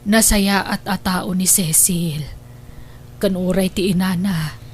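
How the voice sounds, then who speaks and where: A woman with an Ilocano accent acting a gentle, devoted, sorrowful mother in a radio drama, with emotive delivery. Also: on the low side, fairly even intonation, takes it slowly, a little hiss or hum. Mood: gentle pleading.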